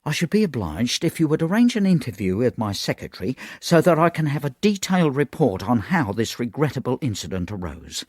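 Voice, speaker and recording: Self-important bureaucrat: disapproving formal dictation, swooping emphasis, dry radio drama recording. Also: male voice, on the high side, darkish tone, dry recording. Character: pompous male education official dictating a stern memo. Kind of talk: radio comedy-drama acting.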